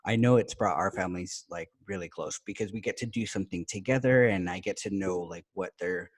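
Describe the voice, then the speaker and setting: A middle-aged man hosts a casual interview in a slightly low voice. He talks with enthusiasm and rambles a little, dropping "like" and "you know" all through his sentences. His tone stays friendly and relaxed. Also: fairly quick, husky. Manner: enthusiastic, chatty, many fillers like "like" and "you know". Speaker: podcast host.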